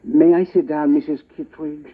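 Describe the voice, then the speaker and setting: An actor playing a sly, insinuating man in a 1940s radio drama, talking slowly and hesitantly, with a husky voice on a tinny old broadcast. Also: fairly high pitch, little pitch movement, lightly acted. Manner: hesitant, slow, creepy insinuation. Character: sly, insinuating middle-aged man, blackmailer type.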